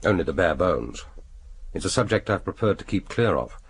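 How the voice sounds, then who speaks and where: An English actor in a radio drama playing a jaded, burnt-out secret agent, dry, understated and politely weary. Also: male voice, sits low, lively intonation, normal speed, slight background noise. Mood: subdued, polite. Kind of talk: radio drama acting with inner monologue.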